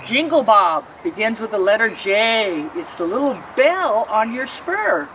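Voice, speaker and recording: A woman reading a rhyming picture book aloud, pausing to explain the pictures. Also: a little high, very animated, fairly bright tone, recorded from a distance, a little hiss or hum, low-quality recording. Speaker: storybook reader.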